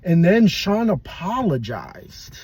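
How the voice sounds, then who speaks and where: A young man with a high, husky voice talks through fight picks in a slow, unhurried drawl. He is blunt and profane and rambles with restarts. The sound is dry and close. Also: very animated, darkish tone, no room sound. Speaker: MMA podcast host. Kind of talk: casual fight predictions.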